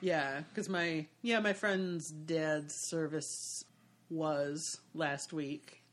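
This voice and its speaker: A podcast host from Michigan talking in a relaxed, casual chat. The voice is mid-pitched, and the host listens closely, adding short affirmations and dry humor. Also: very slow.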